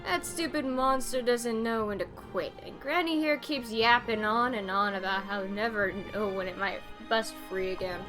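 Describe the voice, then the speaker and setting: A woman's voice: on the high side, moderate pace, few pauses, over music, lightly acted. A woman reading game dialogue as a cocky young man who plays it cool. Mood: cool, nonchalant. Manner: laid-back, swaggering.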